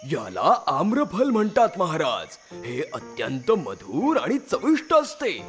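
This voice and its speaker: High, swooping, servile royal minister. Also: male voice, wildly swooping pitch, slow pace, a bit bright, faint music behind, heavily acted. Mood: deferential.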